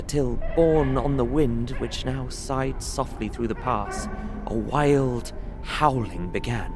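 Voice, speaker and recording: A man playing an anxious traveller in an audio drama, recounting a frightening journey in measured, literary, suspenseful narration. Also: mid-range voice, close to an everyday voice. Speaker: narrating lead character.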